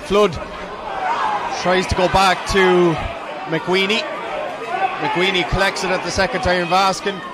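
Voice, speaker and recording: A man's voice: high voice, flat delivery, measured pace, long pauses. An Irish man giving live play-by-play commentary on a Gaelic football match. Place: outdoor stadium stand. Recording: loud crowd and outdoor stadium noise. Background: loud crowd and ground noise.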